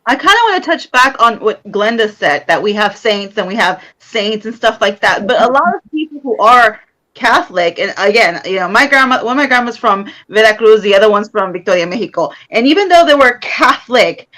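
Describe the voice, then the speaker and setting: Woman co-host, slightly high voice, relaxed, talks things through. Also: brisk. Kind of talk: casual interview chat.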